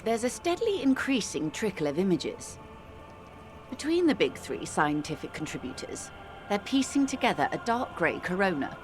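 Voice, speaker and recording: A woman playing a worldly, composed older woman in a video game, speaking eloquently in a measured, gently condescending manner. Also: medium pitch, big pitch swings, close to an everyday voice. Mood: wry, measured. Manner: measured, eloquent, calls the listener dear and darling. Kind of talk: dramatic video game dialogue. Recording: music playing under the voice.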